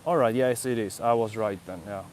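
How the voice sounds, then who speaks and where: A man's voice: fairly low pitch, fairly quick, darkish tone, slight background noise. Swedish man rambling in a park. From Sweden. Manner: rambling, reflective, answers chat comments. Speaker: vlogger. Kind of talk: casual live-stream chat. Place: outdoor city park.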